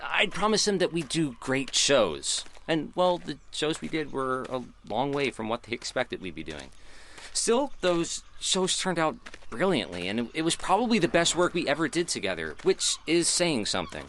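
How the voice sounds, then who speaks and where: An actor playing a regretful, reflective theatre troupe leader, confiding in first-person audio drama narration. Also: male voice, fairly high pitch, big pitch swings, normal speed, fairly bright tone, slight background noise. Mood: wistful regret.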